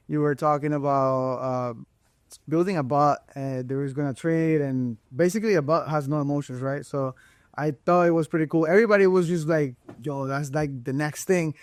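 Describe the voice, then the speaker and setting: Man, young, podcast host, casual and slangy, slightly high voice, dry sound. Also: fairly even intonation, normal speed, smooth, no room sound. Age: young adult. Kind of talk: casual interview talk.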